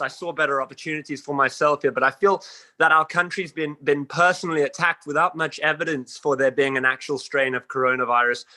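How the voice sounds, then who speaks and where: A man's voice: medium pitch, pushed and bright. A man with a South African accent playing an earnest caller putting a question on a video call.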